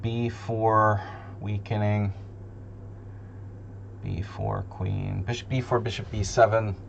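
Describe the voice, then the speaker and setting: A man with a slightly low voice talks very slowly as he thinks aloud over a chess game for a stream. His commentary is casual and deliberating, often muttered to himself. The sound is dull, with a loud hum underneath. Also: fairly even intonation, creaky.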